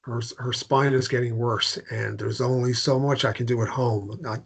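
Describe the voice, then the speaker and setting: An actor playing a guilt-ridden married man in a drama table read, speaking in a halting, self-loathing way. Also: mid-range voice, fairly even intonation, vocal fry, slightly rough. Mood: subdued, sad. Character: guilt-ridden married man, caregiver to his ailing wife.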